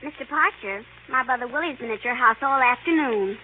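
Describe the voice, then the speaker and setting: A woman's voice: a little high, fairly quick, lightly acted. An actress playing a blunt, nosy little girl who loves to tattle, in a 1930s radio comedy. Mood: secretive, smug. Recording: tinny, noisy 1930s radio broadcast.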